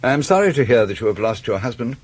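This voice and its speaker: An actor playing a stern, menacing middle-aged Victorian gentleman in a radio drama, with an English accent and a formal, measured, disdainful manner. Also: mid-range voice, big pitch swings, breathy edge. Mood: cold courtesy.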